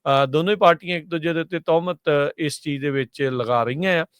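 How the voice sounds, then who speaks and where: A man giving unscripted news commentary as a radio co-host, halting and repeating filler phrases. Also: on the high side, fairly bright tone, clear, resonant tone, dry recording, clean studio sound.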